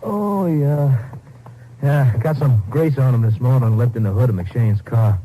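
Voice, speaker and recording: An obliging police lab man , played by an actor, American, with a very dark, mellow voice of medium pitch. He speaks casually in 1950s radio acting style. There is faint noise on the old recording. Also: a little slow, close to an everyday voice, rough recording. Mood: casual, cooperative.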